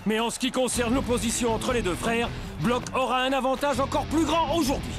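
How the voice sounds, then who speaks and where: A man playing an excitable, smug, talkative football commentator in a cartoon, with music behind him. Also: very high-pitched, a little fast. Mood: brisk, confident. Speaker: match commentator.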